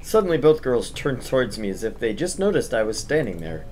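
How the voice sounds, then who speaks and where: A man's voice: medium pitch, very animated, brisk, darkish tone, natural voice. A man reading a visual novel as its awkward, reluctant schoolboy narrator, telling the story and speaking his hesitant lines. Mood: narrating.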